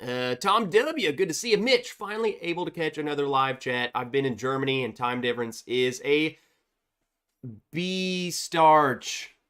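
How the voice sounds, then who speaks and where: Congested male streamer, slightly high and very animated, upbeat host chatting with viewers. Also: a little slow, smooth. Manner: reads viewer chats aloud, chatty and upbeat. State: congested from a cold. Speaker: livestream host. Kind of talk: casual livestream chat.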